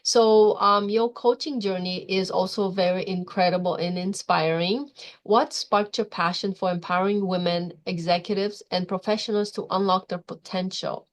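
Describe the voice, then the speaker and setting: A woman's voice: mid-range voice, steady tone, normal speed. A woman from Europe speaking as the host of an interview podcast.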